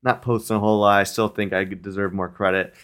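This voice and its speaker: Low-voiced guy cohost, kinda hoarse and tired, laid back joking chat. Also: fast. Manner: relaxed, joking, plugs contact info. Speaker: podcast co-host. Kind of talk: casual chat.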